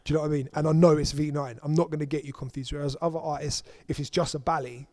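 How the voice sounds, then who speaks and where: A man hosts an interview in a studio, chatting and affirming often. His voice sits a little high, recorded close and dry with no room sound. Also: steady tone, very fast, warm tone, no echo. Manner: affirming, conversational, many "yeah, yeah". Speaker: interview host. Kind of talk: casual interview.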